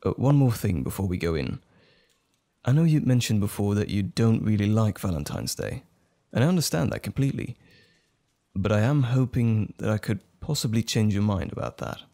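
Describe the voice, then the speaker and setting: Man acting as nervous romantic date, lively intonation. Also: fairly low pitch, normal speed, gravelly. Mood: tender, smitten.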